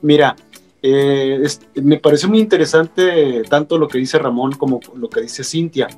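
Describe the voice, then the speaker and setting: A man's voice: fairly high pitch, unhurried, a little mellow, soft background music. A man who works as a radio broadcaster, speaking hesitantly with many fillers and repeated words during a casual panel chat.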